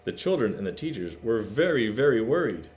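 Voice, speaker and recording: A man reading a picture book aloud to children in an expressive, emphatic way, adding explanations for the listeners. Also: lowish voice, fairly quick. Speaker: read-aloud storyteller.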